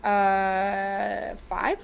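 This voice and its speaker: A woman guest on a casual podcast, talking in halting, self-repeating phrases. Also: medium pitch, monotone, gravelly, muffled recording, slight background noise.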